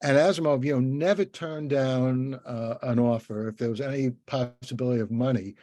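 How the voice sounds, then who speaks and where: An older man hosting a casual interview, talking in a halting, rambling way with many restarts. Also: medium pitch, steady tone, mellow, no echo. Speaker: interviewer, podcast host.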